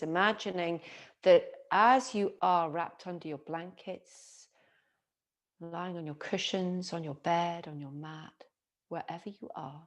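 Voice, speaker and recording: A woman yoga teacher guiding a relaxation meditation in a soothing, gentle manner with long pauses. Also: on the low side.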